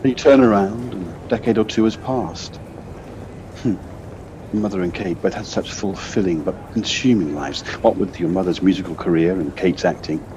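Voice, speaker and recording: A man playing a concerned, reasonable male companion in an audio play, speaking in a considerate, gently questioning way. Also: low-pitched, moderate pace, natural voice. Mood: musing. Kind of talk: audio play acting, naturalistic dialogue. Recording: dull lo-fi device recording, faint noise.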